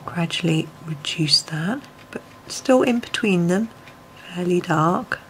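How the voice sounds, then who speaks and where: A woman, a little croaky, gently talking through a colouring tutorial at her desk, often dropping to a near whisper. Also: fairly low pitch, expressive, slow pace, slight background noise, low-quality recording. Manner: soft-spoken, often drops to a near whisper. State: slightly croaky voice. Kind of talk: relaxed step-by-step tutorial. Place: home desk, filmed from above.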